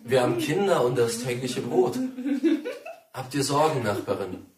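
An actor playing a cautious husband and father who keeps calm, in a radio drama. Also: medium pitch, moderate pace, crowd noise, close to an everyday voice. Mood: content, puzzled. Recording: roomy, echoing radio play sound.

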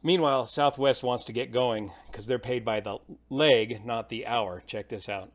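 A man's voice: mid-range voice, moderate pace. A middle-aged American man giving informal, colloquial explanatory commentary as an aviation host.

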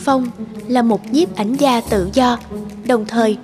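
A woman's voice: a little high, slow. A woman narrating a scripted story as its storyteller. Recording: music under the voice.

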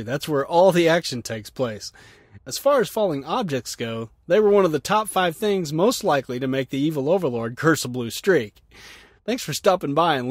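A man's voice: fairly high pitch, no echo, clean studio sound. A man hosting a podcast, reading out listener comments and answering them with wry, self-mocking jokes. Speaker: podcast host.

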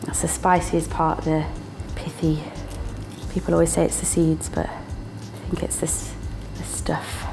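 A woman's voice: low-pitched, level tone, unhurried, gravelly, music in the background, low-quality recording. A young woman with a northern English accent, chatting playfully as she cooks, laughing often and making little sound words. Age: young adult. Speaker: student cooking presenter. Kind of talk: casual cooking demonstration. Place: small indoor kitchen.

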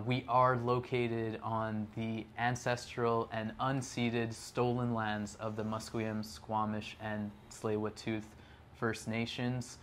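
Upbeat young male interview host. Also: mid-range voice. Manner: upbeat, energetic, laughs often. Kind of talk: casual interview. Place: small ceramics studio, indoors.

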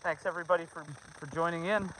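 Give this a man in middle age with a forceful, bright voice pitched a bit high. He chats informally as he walks, livestreaming from a phone outdoors. There is clear noise behind him. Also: fairly even intonation, fast talker, poor audio. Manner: informal, talks to viewers, son and dogs. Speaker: livestreamer on a family dog hike. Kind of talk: casual livestream chat while walking. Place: outdoors on a snowy trail. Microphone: handheld phone.

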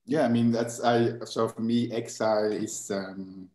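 Man, 30s, low-ish voice, hesitant designer on an echoey video call. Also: level tone, unhurried, a little mellow. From Switzerland. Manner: hesitant, many restarts and fillers. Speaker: book designer. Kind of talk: casual chat. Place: room with bookshelves. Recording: roomy video call audio.

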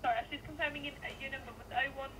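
Call centre lady, high voice, talks quick, really loud noise behind. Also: fairly even intonation. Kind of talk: polite customer service phone call. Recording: phone on speaker, loud power drill noise. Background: loud electric drill, clicks.

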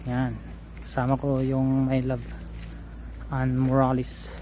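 Slow, even-toned Filipino man outdoors. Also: medium pitch, a little hiss or hum, poor audio. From Philippines. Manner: relaxed, pointing things out to viewers as he walks. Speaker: vlogger. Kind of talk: casual walking tour vlog. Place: seaside park path outdoors. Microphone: phone held at arm's length. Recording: outdoor ambience with insects.

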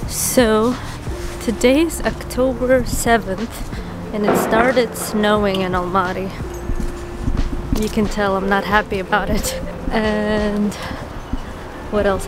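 Young female vlogger, casual and chatty, talking over clear music. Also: medium pitch, fairly even intonation, slow. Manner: chatty, laughs between phrases, many fillers. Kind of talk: casual vlog talk to camera. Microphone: handheld camera close to the face.

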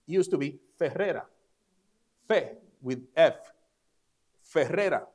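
A male pastor, a native Spanish speaker, giving an emphatic sermon in a church service, saying each line in English and then repeating it in Spanish. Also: on the high side. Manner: emphatic, stressing key words. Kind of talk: bilingual sermon.

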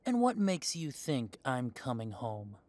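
A man's voice: medium pitch, very animated, unhurried, a little mellow. A man playing a young adult werewolf in an audio drama, an estranged heir who talks with sarcasm and indignation. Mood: indignant. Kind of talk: audio roleplay drama acting.

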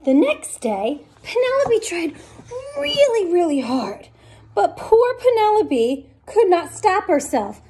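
A woman's voice: extremely high pitch, sing-song, slow pace, dark tone. A woman in her thirties reading a picture book aloud expressively to children, speaking to them directly. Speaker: children's story time reader. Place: small room indoors at home.

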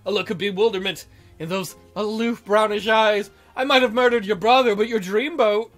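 A man's voice: high voice, fairly even intonation, normal speed, a bit bright. A man reading a visual novel aloud as a let's-play, joking and theatrical with playful commentary. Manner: joking, theatrical, often giggling. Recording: music under the voice.

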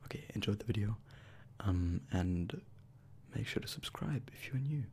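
A man's voice: fairly low pitch, animated, moderate pace, noisy background. A young man doing close-up whispered ASMR, repeating words and short phrases over and over into a close microphone. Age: young adult. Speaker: ASMR video creator, host. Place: small bedroom, indoors. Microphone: close desk microphone with foam windscreen.

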